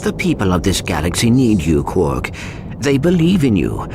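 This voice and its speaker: An actor playing a small, polite robot sidekick in a video game, speaking precisely and earnestly. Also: male voice, lowish voice, huge pitch swings, normal speed, gravelly, background noise, heavily acted. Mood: pleading, encouraging. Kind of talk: animated video game cutscene acting.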